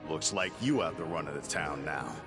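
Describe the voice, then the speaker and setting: An actor playing a serious, terse warrior on a quest in a video game, speaking bluntly. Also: male voice, very deep voice, animated, moderate pace, loud music behind the voice. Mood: blunt, impatient. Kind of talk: video game cutscene acting.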